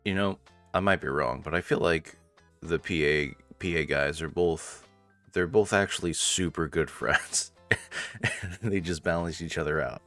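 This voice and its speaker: A man's voice: low voice, measured pace, a bit bright. A man hosting a let's-play, reading story narration theatrically with casual, amused asides. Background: faint game music.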